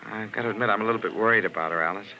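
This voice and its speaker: An actor playing an evasive, tense husband torn between two women, brusque and dramatic in 1940s radio style. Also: male voice, on the low side, very fast, highs cut off, a crowd in the background, close to an everyday voice. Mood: uneasy. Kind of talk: 1940s radio melodrama acting.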